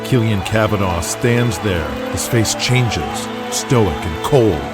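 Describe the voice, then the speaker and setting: A man's voice: lowish voice, animated, takes it slowly. A man narrating a scripted audio drama in a dramatic storytelling style. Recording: loud music behind.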